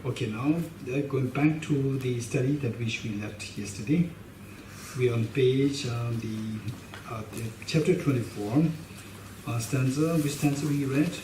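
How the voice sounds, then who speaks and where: A middle-aged man with a Tibetan accent teaches a class, emphatic and gesturing, circling back to repeat his phrases. His voice sits at an ordinary pitch and is heard from a little way off the microphone. The hall is echoey, with a faint hiss underneath. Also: measured pace. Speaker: Buddhist teacher. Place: meditation hall with shrine, indoors. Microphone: stand mic in front of him. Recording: roomy hall sound, mic a bit distant, faint hiss.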